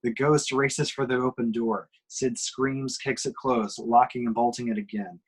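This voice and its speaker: A man's voice: mid-range voice, fairly even intonation, moderate pace, slightly rough. A man giving emphatic, suspenseful scripted narration as he reads action descriptions at an amateur table read.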